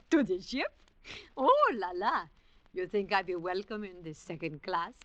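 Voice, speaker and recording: A woman's voice: medium pitch, very animated, a little slow, a bit bright, dry recording, highs cut off. An actress playing a fierce, weary, defiant woman of a port underworld in a 1940s radio drama, theatrical and commanding. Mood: grimly amused. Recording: restored 1940s radio broadcast, audible noise.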